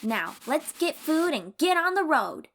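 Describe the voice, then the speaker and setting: A woman playing a cheerful, boastful young sea-dwelling warrior in an audio roleplay, eager and expressive as she talks to the listener. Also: high-pitched, unhurried, heavily acted. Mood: friendly, reassuring. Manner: eager, bragging, reassuring, expressive storyteller.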